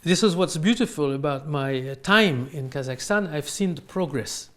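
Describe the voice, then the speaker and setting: A man with a French accent answering interview questions in halting, unscripted non-native English. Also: a little high, animated. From France. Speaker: interview guest, real estate company owner. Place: in his apartment.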